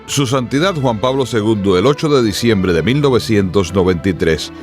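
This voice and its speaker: A man's voice: on the low side, moderate pace, faint music behind. A man narrating a radio drama from a script in a formal, dramatic storytelling manner.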